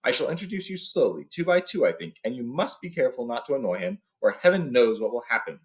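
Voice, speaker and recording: A man's voice: medium pitch, animated, fast, natural voice. A man voicing a courteous, authoritative old wizard in a storybook, speaking formally and with emphasis. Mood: cautioning. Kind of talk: storybook read-aloud character.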